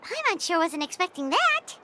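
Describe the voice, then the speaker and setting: A woman voicing a tiny, excitable childlike fairy companion in a video game, squeaky and inquisitive. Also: moderate pace, faint music behind, heavily acted. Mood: curious. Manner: inquisitive, speaks of herself in third person.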